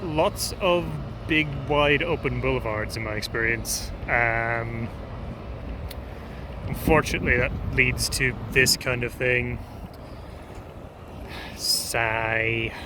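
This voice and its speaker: Slow-talking Irish man on a noisy street. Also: medium pitch. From Ireland. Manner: rambling, hesitant, many ums. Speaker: vlogger. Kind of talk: casual travel vlog monologue. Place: outdoors on a city street. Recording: outdoor street noise. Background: urban street noise.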